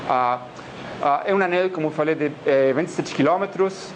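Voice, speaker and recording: A man's voice: fairly high pitch, moderate pace, a little hiss or hum. A man from Argentina with an Argentine Spanish accent, giving a physics colloquium talk in Portuguese, hesitant with many filler sounds. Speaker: physics lecturer. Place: lecture hall.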